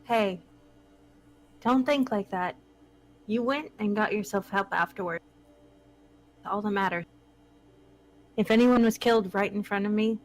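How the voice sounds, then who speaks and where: A woman's voice: mid-range voice, lightly acted, poor audio. A woman playing a playful, caring friend in her twenties, speaking conversationally in a dramatic script reading.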